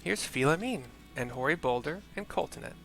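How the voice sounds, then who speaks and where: A man's voice: a little high, animated, fairly quick, gravelly, a bit bright, soft background music. A man giving casual, chatty let's-play commentary as a streamer, loosely describing each scene aloud. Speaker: game streamer, narrator.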